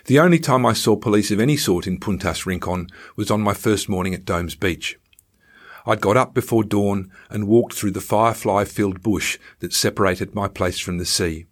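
A man narrates a written travel story in a low voice. His delivery is measured, like an author reading his own memoir, with a dry, ironic edge.

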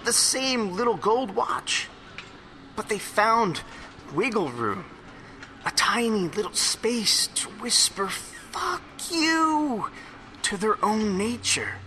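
An excitable, stammering, hungover rambler, bright-voiced man. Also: sits high, slight background noise, exaggerated voice. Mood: excited wonder. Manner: halting, repetitive, self-correcting rambling. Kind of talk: confessional audio drama monologue.

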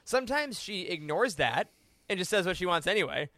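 A man chatting casually on a roundtable podcast, animated and given to jokey riffing. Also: sits high, fairly quick, no room sound. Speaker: podcast panelist. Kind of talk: casual roundtable discussion with acted asides.

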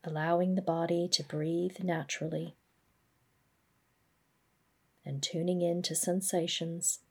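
Husky, low-voiced woman guiding meditation. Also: fairly even intonation. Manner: gentle, measured, instructional. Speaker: mindfulness practice guide. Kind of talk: guided body scan meditation narration.

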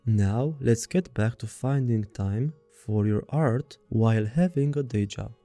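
A man with a Romanian accent hosting a podcast, reading a word-for-word script in an even, deliberate way. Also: mid-range voice, dry recording, faint music behind. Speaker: podcast host.

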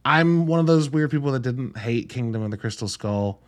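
A man's voice: mid-range voice, expressive, normal speed. Male film podcast host, mid-range voice, casual and blunt, talks conversationally with frequent check-ins to the listener. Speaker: podcast host reviewing films. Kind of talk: casual solo film review commentary.

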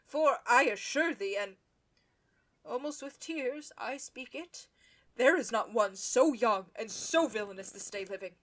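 A woman playing an envious, scheming young nobleman in a Shakespearean radio play, with a theatrical, insinuating, deliberate delivery. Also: a little high, expressive. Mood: venomous, urgent.

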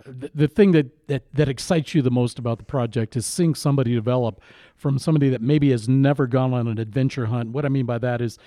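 A man's voice: mid-range voice, fast, dark tone, no echo, studio quality. An American man co-hosting a podcast in casual conversation. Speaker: podcast co-host.

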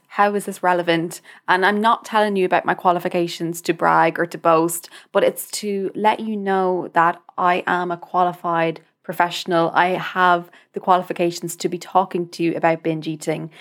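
A young adult woman with an Irish accent hosting a podcast, speaking informally and directly to the listener in a conversational monologue. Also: lowish voice. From Ireland. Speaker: podcast host, dietitian.

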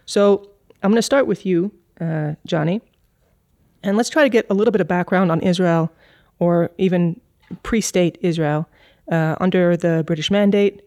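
A woman's voice: on the low side, normal speed, darkish tone, dry recording, clean studio sound. A woman hosting a podcast, with a polished, articulate delivery. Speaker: podcast host. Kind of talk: scripted podcast intro and moderating.

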